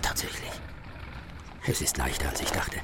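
An actor playing a brusque, sharp-witted English master detective in a radio play, muttering to himself and testy with his assistant. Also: normal speed, heavy background noise, close to an everyday voice. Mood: hushed, wary.

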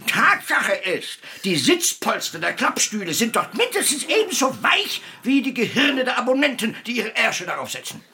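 An actor playing a cantankerous, vain old puppeteer in a radio play, pompous and lecturing, with a raspy voice. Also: male voice, extremely high pitch, very animated, moderate pace, few pauses, bright, forceful tone, exaggerated voice. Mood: scornful. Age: older. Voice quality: raspy, gravelly. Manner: theatrical, pompous, lecturing, irascible.

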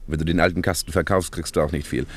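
An actor playing a scheming young suitor in a radio play, glib and persuasive in manner. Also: male voice, low-pitched, fairly even intonation, fast talker. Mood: persuasive, blunt. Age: young adult. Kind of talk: German radio play acting.

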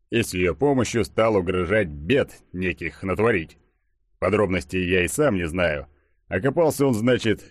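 A man voicing a burly, tipsy ex-soldier in an audiobook, gruff and suspicious, rambling colloquially. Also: fairly low pitch, no room sound, natural voice.

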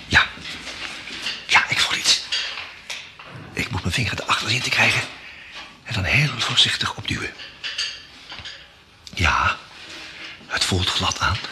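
A man acting in an old radio play, talking quietly and half-whispering while he searches in the dark. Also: lowish voice, creaky, noisy background. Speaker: radio drama actor.

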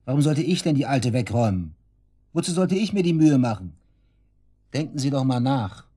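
A man's voice: mid-range voice, sing-song, moderate pace, dark tone, slightly rough. An actor playing a brash, sarcastic murder suspect under police questioning in a radio drama, crude and defiant in his slangy talk. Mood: agitated, indignant. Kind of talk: naturalistic German radio drama acting.

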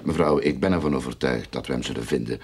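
An actor playing a smooth-talking, money-hungry detective boss, ingratiating and bossy, in a radio drama. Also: male voice, very deep voice, fast talker, slight background noise.

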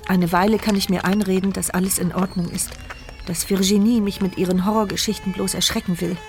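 A woman's voice: mid-range voice, flat delivery, brisk, over music, natural voice. Woman voicing a fearful paralysed narrator. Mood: anxious. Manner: tense, fearful, introspective. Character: paralysed mute woman Elise, anxious inner narrator. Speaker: narrator of inner thoughts. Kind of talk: radio play inner monologue.

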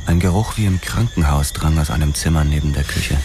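An actor playing a police detective who narrates a grim crime radio drama in a sombre, deliberate, terse way. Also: male voice, extremely low pitch, noisy background. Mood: grim, tense. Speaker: first-person narrator.

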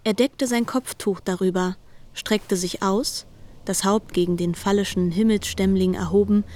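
An actress as a storyteller sharing the telling of a biblical tale in measured, understated radio drama narration. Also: female voice, mid-range voice, steady tone, a little mellow, lightly acted. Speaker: second narrator.

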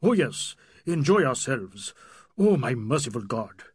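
An old Indian nobleman voiced by a male narrator, pompous and quick to exclaim. The voice is husky and animated, recorded dry for an audiobook. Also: medium pitch, no room sound, exaggerated voice. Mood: agitated.

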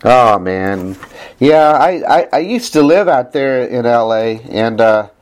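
A man's voice: animated, slow. Enthusiastic man hosting a podcast. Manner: enthusiastic, laughing often, with big pitch jumps. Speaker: podcast host. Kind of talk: casual podcast chat.

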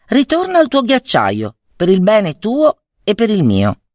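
Young storybook prince, played by a woman: proud, ardent, tender, highly animated intonation, close dry sound. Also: a little slow, dry recording. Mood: stern. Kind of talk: storybook fairy-tale character voice.

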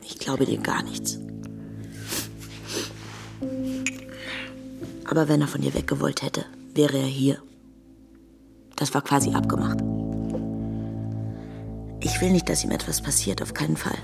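An actress playing a blunt, bitter, bossy estranged wife who takes charge, with dry sardonic delivery in a radio drama. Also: female voice, a bass voice, fairly even intonation, normal speed, vocal fry, pauses often, heavily acted. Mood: cold, distrustful. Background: music.

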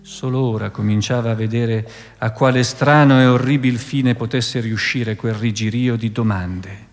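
A man's voice: mid-range voice, steady tone, moderate pace. A man narrating a scripted historical radio drama in Italian in a formal, dramatic style. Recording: long hall reverb, music underneath.

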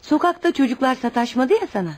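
Poor religious widow mom in a radio drama, super expressive, protective n earnest, kinda anxious. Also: female voice, high-pitched, fast talker. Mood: sociable, curious. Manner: earnest, instructive. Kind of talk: radio theater acting.

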